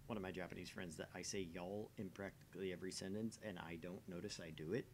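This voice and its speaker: A man's voice: steady tone, fast talker. A man from the American South bantering casually as a game streamer. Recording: rumbly background noise.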